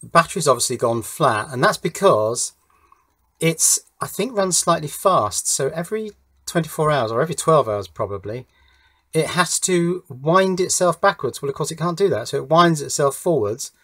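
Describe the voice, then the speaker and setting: A man's voice: fairly high pitch, lively intonation, normal speed, a bit bright, slightly rough. A man chatting casually as he explains his circuit board build at a home workbench, with wry asides along the way. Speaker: electronics hobbyist presenter.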